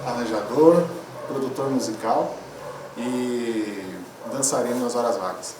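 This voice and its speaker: A man's voice: mid-range voice, measured pace. A man, a singer and music producer, introducing himself casually. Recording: roomy echo, distant, noisy.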